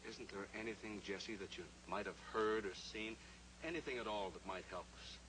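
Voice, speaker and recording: An actor playing a steady middle-aged rancher-pilot hero in a 1950s radio drama, calm, reassuring and firm. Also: male voice, lowish voice, normal speed, background noise, close to an everyday voice. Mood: probing. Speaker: lead character.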